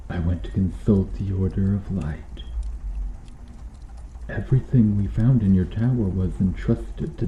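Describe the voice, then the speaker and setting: A man playing a cruel, vengeful medieval knight in an ASMR roleplay, speaking in formal archaic phrases with menacing, deliberate intensity. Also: deep voice. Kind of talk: ASMR roleplay, slow dramatic monologue. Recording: muffled, roomy sound with background noise.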